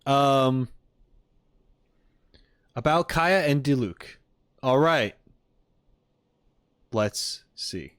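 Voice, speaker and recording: A man's voice: medium pitch, animated, very slow, pauses often, a bit bright, clear, resonant tone, no room sound. A man streaming a casual reaction, chatting in an informal, hesitant, musing way close to the microphone. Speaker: streamer reacting to game videos. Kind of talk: casual livestream reaction chat.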